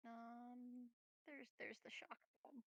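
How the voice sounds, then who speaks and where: A woman's voice: fairly high pitch, fairly even intonation, slow pace, a bit bright, no echo, dull audio. A woman chatting casually as a tabletop game player, informal and easygoing. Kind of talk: casual chat during a game.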